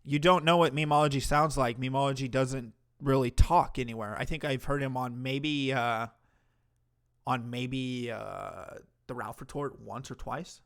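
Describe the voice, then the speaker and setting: A man's voice: medium pitch, fairly even intonation, measured pace, vocal fry. A man hosting a livestream, reacting to a clip with mocking, profane commentary. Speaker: commentary streamer host.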